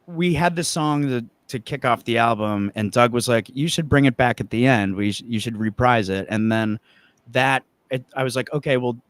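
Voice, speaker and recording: A man's voice: medium pitch, moderate pace, no echo. A man chatting casually as a musician guest about his songwriting, in a loose, rambling way. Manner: rambling, loosely hedging with "like" and "kind of". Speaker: musician guest, band frontman.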